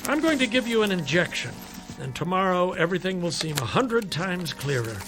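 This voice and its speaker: An actor playing a skeptical, fatherly doctor in a radio drama, speaking in a measured, patronizing way and coaxing with rhetorical questions. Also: high voice, sing-song, over music. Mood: firm persuasion. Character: male doctor, persuasive, skeptical, fatherly toward his patient.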